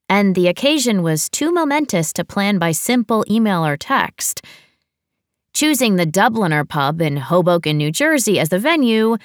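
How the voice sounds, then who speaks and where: A woman's voice: mid-range voice, dry recording, studio quality. An American woman reading a first-person romantic comedy novel as a scripted audiobook narration.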